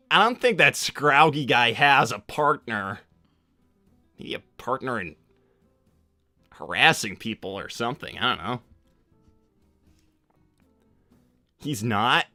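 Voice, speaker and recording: A man voicing a cocky, easily exasperated teenage cartoon hedgehog, chatting off the cuff on a livestream in a casual, halting way that repeats itself. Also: medium pitch, bright, forceful tone, no echo, heavily acted. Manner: casual, halting slacker drawl full of repetition. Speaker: livestream host answering viewer questions in character. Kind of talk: improvised in-character livestream chat.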